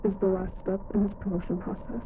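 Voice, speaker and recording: A woman's voice: mid-range voice, level tone, fast, natural voice. A woman playing a reserved corporate evaluator dictating a formal report in an analog horror recording. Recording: phone-like tape, clear noise.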